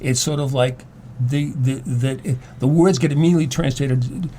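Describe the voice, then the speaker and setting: A man in a casual discussion, explaining his thoughts haltingly and repeating words as he goes. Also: medium pitch, steady tone, mellow, slight background noise. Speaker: guest, former English teacher.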